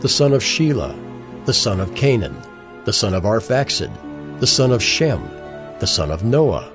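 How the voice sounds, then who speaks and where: A man giving a scripted scripture narration in a measured, recitative manner. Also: lowish voice, big pitch swings. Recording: music clearly behind the voice.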